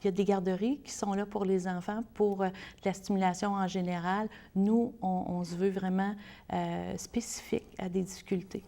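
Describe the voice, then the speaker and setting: A woman's voice: mid-range voice, level tone, moderate pace, dark tone. Québécois woman explaining earnestly. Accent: Québécois French. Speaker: interview guest, spokesperson for a children's service. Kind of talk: explanatory interview answers.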